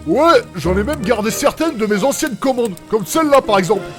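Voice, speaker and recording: An actor doing fast, chatty alchemist Kevin. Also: male voice, very high voice, lively intonation, music in the background. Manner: rambling, cheeky, jokey. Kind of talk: audio fiction acting.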